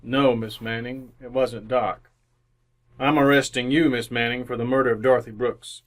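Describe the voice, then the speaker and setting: A man playing a shrewd, methodical police inspector in an old-time radio mystery, with a measured, formal way of questioning. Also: medium pitch, steady tone, lightly acted. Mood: coolly accusing. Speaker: amateur radio actor.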